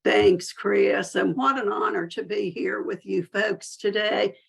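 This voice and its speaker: A woman's voice: medium pitch, fairly even intonation, slow, gravelly, husky. A woman with a Southern US accent, giving a chatty, folksy webinar presentation as a chapter chair over a video call. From Southern United States. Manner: chatty, folksy, self-deprecating.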